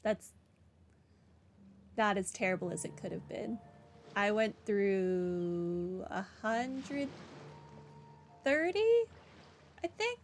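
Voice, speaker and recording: A woman's voice: medium pitch, lively intonation, slow, long pauses, slight background noise. A woman doing casual playthrough commentary as a game streamer, chatting informally. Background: game music.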